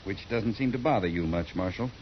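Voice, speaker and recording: An actor playing a stiff, self-righteous bureaucrat in a 1950s western radio drama, formal and lecturing in manner. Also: male voice, lowish voice, brisk, close to an everyday voice. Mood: critical, prim. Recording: muffled, noisy 1950s radio recording.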